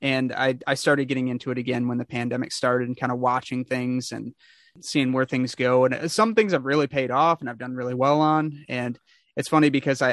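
A man's voice: mid-range voice, no echo. A young man hosting a casual interview, chatty and upbeat, rambling with restarts, over a video call. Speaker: podcast host.

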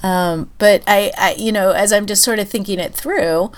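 A woman co-hosting a podcast, chatting casually and thinking aloud with many pauses and fillers, her voice stuffy and nasal from a cold. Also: mid-range voice. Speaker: podcast co-host. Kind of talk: casual chat.

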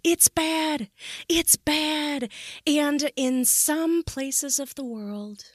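A woman's voice: sits high, drawn-out words, dry recording, clean studio sound. A woman co-hosting a podcast discussion, speaking in an emphatic, blunt, casually sweary and opinionated way. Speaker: podcast co-host.

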